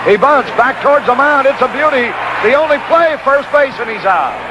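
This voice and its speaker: An American man calling baseball play-by-play on live radio in clipped, punchy phrases with pauses between plays. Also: very high-pitched, animated. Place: outdoor ballpark broadcast booth. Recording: noisy, muffled 1960s AM radio broadcast.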